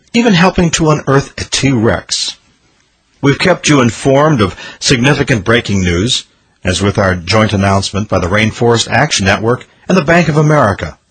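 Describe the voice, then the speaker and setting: A man's voice: mid-range voice, a bit bright, breathy edge, poor audio. A man hosting a radio show, reading an earnest, promotional year-in-review script.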